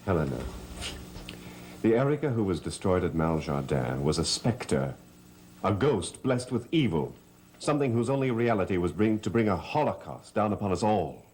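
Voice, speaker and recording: Suave gothic soap lead: low voice, sweeping intonation, florid and romantic. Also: male voice, big pitch swings, normal speed, a little mellow, a little hiss or hum. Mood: ardent. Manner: florid, theatrical. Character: suave, romantic master of a haunted gothic estate. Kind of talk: 1970s gothic soap opera acting.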